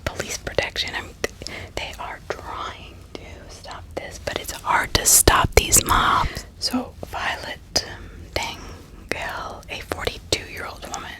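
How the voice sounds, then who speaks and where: A woman narrating true-crime stories in an ASMR style, in a breathy, unvoiced whisper with sympathetic, dramatic storytelling. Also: poor audio. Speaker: ASMR host.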